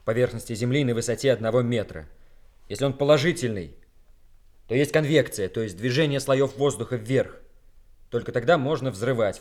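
A man's voice: mid-range voice, darkish tone, natural voice. A man playing a cocky, laconic army senior lieutenant in a radio play. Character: cocky, laconic male senior lieutenant, military bomb technician. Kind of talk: Soviet radio play acting.

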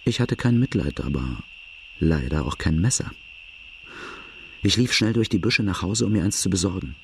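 A man's voice: low-pitched, animated, normal speed, vocal fry, a little mellow, a little hiss or hum. An actor playing a brooding outlaw narrating his boyhood in a German radio drama, grave and confessional.

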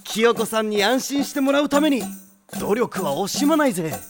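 Loud, high-pitched cocky teenage boy. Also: big pitch swings, normal speed, over music. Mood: boastful. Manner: brash, boastful, theatrical. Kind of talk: anime audio drama acting.

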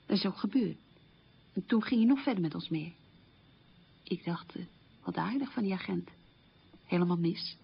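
A resilient woman looking back on hard times in a radio play. Her voice is very husky with plenty of creak, and she tells it plainly and without hurry. The recording is dull and lo-fi. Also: medium pitch. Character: resilient woman recalling a poor, hungry wartime childhood. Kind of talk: radio documentary-drama monologue, recounting memories.

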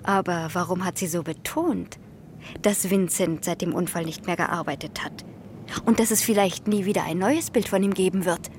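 An actress playing a sharp, insistent female private detective who probes and reasons, in a German radio crime drama. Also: on the low side, moderate pace, a little hiss or hum, natural voice. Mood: suspicious, reflective. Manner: probing, insistent.

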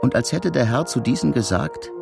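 Male: fervent political reciter, high voice with wide swoops, emphatic delivery over music. Experimental radio play. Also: expressive, creaky. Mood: grave. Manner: stretched, emphatic, deliberate declamation. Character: impassioned male reciter of a revolutionary political pamphlet. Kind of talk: declaimed text in experimental musical audio drama.

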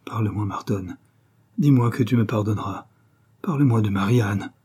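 A man voicing a remorseful young male convict who pleads in a hushed, broken murmur. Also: lowish voice, normal speed, slightly rough. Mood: pleading.

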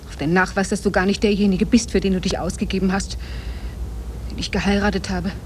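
A distraught wife acted by a woman in an old radio drama, insistent and full of reproach. Her medium-pitched voice pleads and accuses with emphasis, over a faintly noisy cassette transfer. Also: steady tone, a little fast, natural voice. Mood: anxious, pleading. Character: distraught, accusing wife who discovers her husband's deception. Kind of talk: 1960 German radio play acting.

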